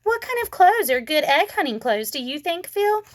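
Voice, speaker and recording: A woman voicing a brash, excitable little girl who tells her story with childish, emphatic repetition in a children's storybook. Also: sits high, moderate pace, dry recording, clean studio sound, voice pushed up. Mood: eager, insistent. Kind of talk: children's storybook read-aloud.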